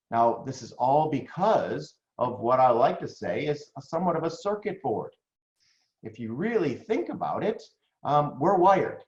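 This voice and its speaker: An American man giving a business coaching talk, conversational and animated, often checking in with "right?". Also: medium pitch. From United States. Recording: roomy, slightly dull lo-fi audio.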